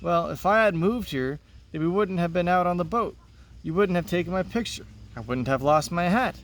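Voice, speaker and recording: A man's voice: high voice, expressive, normal speed, distant mic, voice pushed up. A man reading the part of a curious, reserved boy in a children's play, in an earnest, simple way. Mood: wondering. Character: Marco, curious, reserved boy new in town. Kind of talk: read-aloud of a children's play. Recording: noticeable background noise.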